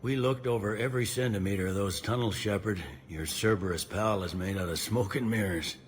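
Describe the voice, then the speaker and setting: An actor playing a gruff, plain-spoken middle-aged police commander in a video game cutscene. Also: male voice, on the low side, brisk, faint music behind, lightly acted. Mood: grim, matter-of-fact. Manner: blunt, colloquial, no-nonsense.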